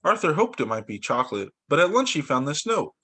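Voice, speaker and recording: A man reading picture books aloud as a teacher, in an animated, emphatic way meant for young children. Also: fairly high pitch, a little fast. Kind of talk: expressive children's story read-aloud.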